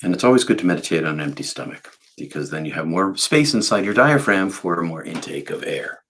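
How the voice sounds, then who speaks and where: Man, faint Boston accent, meditation teacher, soft-spoken and unhurried, very low voice, husky. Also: very animated. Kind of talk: guided meditation talk.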